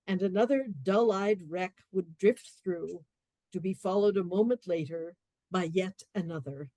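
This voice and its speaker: A woman narrating a radio play in a measured, literary storytelling manner. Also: fairly low pitch, mellow, no echo, rough recording.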